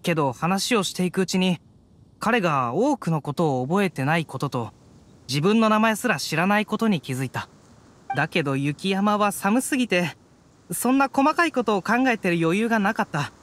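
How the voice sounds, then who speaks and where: A man's voice: high voice, animated, moderate pace, fairly bright tone. A man playing an earnest young adventurer in anime-style game dialogue, telling his story expressively. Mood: earnest recounting.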